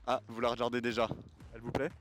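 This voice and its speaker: A man reporting on the street, asking passersby brisk, probing questions. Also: medium pitch, little pitch movement. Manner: brisk, probing, lightly provocative.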